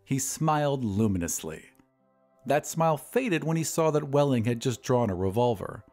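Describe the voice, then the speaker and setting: A man narrating an audio drama in a measured, expressive storytelling voice, and hosting the show. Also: mid-range voice, faint music behind. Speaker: narrator and producer.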